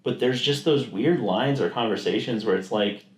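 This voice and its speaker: A man with a middle-pitched voice chats loosely as a podcast co-host. He leans on fillers and acts out quoted exchanges. The recording has the echo of a bare room. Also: normal speed. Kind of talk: casual chat.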